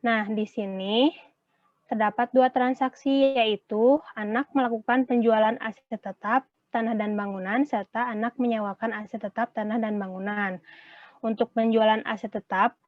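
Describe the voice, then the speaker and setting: A woman's voice: fairly high pitch, steady tone, normal speed, dry recording. Young woman presenting, thin phone-like sound. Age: young adult. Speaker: student presenter. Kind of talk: prepared class presentation.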